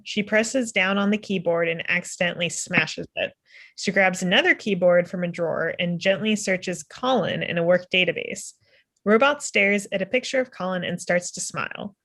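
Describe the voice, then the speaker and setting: A woman's voice: medium pitch, fairly bright tone. A woman reading stage directions as scripted narration at a table read.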